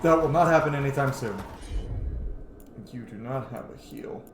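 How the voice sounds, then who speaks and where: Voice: mid-range voice, normal speed, background noise. A gamer giving casual, enthusiastic commentary while playing, informal and sweary. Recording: roomy echo, game music behind. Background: game music.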